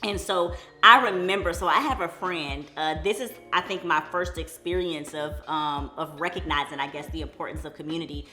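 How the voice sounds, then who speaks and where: A woman from Detroit hosting a casual, faith-minded group chat with friends, chatty and quick to laugh. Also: sits low, pushed and bright, roomy sound, soft background music. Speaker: host.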